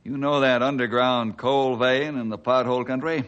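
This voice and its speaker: An actor playing an upright, steady forest ranger, earnest and authoritative, in an old-time radio adventure. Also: male voice, medium pitch, normal speed, highs cut off, natural voice. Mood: friendly, earnest.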